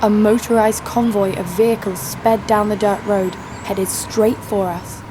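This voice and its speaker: A woman's voice: medium pitch, fairly even intonation, measured pace, noisy background, lightly acted. A woman playing a tough, sardonic fighter who narrates her own story with dry humour in an audio drama. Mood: wry. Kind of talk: scripted first-person audio drama narration.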